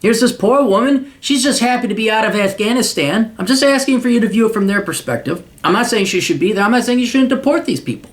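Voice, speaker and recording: A man with a high-pitched voice rants his advice straight at the listener. He is blunt and crude, swears freely, and mocks the person he addresses while lecturing them on how the world works. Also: expressive, fast talker, hardly any pauses. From United States. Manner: profane, mocking, lecturing. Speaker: podcast host giving paid advice.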